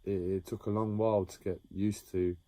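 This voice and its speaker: A man talking slowly and haltingly about his own experiences in a reflective monologue, with many pauses and restarts. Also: low voice, level tone, mellow, dry recording. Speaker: livestreamer sharing a personal story. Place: home.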